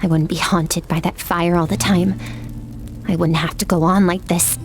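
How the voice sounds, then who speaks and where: A woman's voice: on the low side, little pitch movement, normal speed, faint music behind, close to an everyday voice. A woman voicing a timid, grieving young girl apprentice in a dramatic video game, hesitant and pleading. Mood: sad, haunted.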